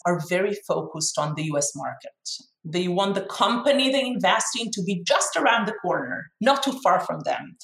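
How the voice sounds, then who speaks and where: Israeli woman, frank, in an echoey room. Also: medium pitch. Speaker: chief executive being interviewed. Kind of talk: interview answers. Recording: roomy, echoey sound.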